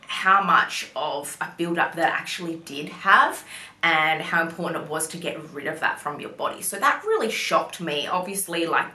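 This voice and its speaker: A woman's voice: sits low, bright, forceful tone. A young woman chatting casually to camera, full of "like" fillers and little apologies. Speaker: vlogger. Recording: roomy echo.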